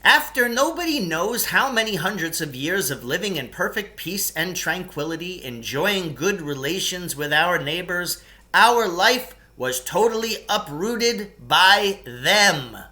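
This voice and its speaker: A man's voice: high-pitched, animated, measured pace, pushed and bright, heavily acted. A man voicing a long-winded, nostalgic storyteller in a children's novel, ceremonious and pompous, drawing out key words. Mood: grandly explanatory. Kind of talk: children's novel read aloud in character.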